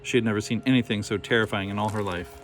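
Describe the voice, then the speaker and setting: A man's voice: on the low side, fast talker. Man reading a children's story, noisy recording. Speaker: storybook reader. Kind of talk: scripted children's story narration.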